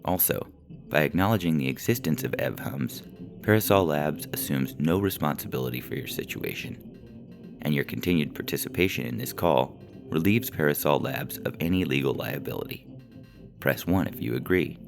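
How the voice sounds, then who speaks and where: Man as an officious, gravelly call-center agent. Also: extremely low pitch, normal speed, over music, heavily acted. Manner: scripted, bureaucratic, politely deadpan.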